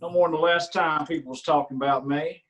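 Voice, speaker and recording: An actor reading the part of a prying county sheriff in a staged play reading, drawling and falsely friendly. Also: male voice, a little high, steady tone, quick pace, fairly bright tone, natural voice. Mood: smug. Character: rural county sheriff, middle-aged man, prying and smug.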